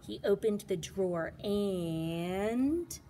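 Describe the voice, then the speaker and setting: A woman's voice: mid-range voice, animated, very slow, very noisy. A woman reading a children's storybook aloud as a teacher, with dramatic, emphatic delivery.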